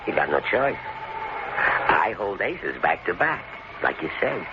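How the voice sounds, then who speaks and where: A man's voice: low-pitched, big pitch swings, a little slow, exaggerated voice. An actor playing a menacing killer in an old-time radio drama, mocking and drawling as he needles his captives. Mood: smug, threatening. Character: menacing, taunting killer holding a couple captive. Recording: muffled, very noisy old radio recording.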